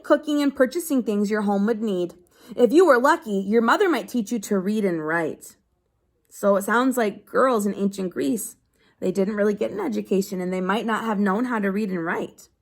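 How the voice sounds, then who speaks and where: A woman reading a children's book aloud like a teacher, pausing to explain things simply as if to young pupils. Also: a little high. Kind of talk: read-aloud lesson with simple explanatory asides.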